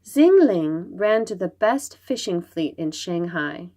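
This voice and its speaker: A woman reading a children's story aloud, expressive and dramatic, drawing out her words. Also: on the low side, slow pace. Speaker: storybook reader.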